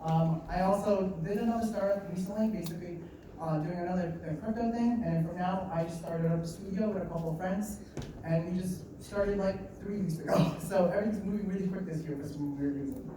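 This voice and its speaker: Young guy giving a nervy, fast design talk, lots of ums, echoey room, mic far off, some noise. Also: high voice. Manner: fast, nervous, loose with fillers and restarts. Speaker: guest speaker at a design meetup. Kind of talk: live conference talk. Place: meeting room in an office.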